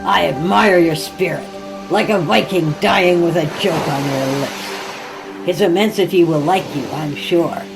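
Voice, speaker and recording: A woman's voice: fairly low pitch, measured pace, creaky, over music. A woman voicing a drowned male corpse, a smug and courtly servant of a sea god, in a horror story reading. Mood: mocking praise. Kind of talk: horror audiobook character.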